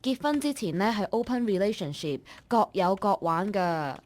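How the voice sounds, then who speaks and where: A woman's voice: medium pitch, animated, normal speed, darkish tone, a little hiss or hum, natural voice. An actress playing a nosy young female office colleague in a radio drama, talking in a blunt, colloquial, gossipy way. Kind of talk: Cantonese online radio drama acting.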